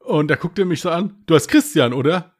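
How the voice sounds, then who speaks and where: A man's voice: on the high side, expressive, normal speed, breathy edge. A young man co-hosting a casual podcast, telling rambling stories and laughing at his own jokes. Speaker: podcast co-host. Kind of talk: casual chat, anecdote telling.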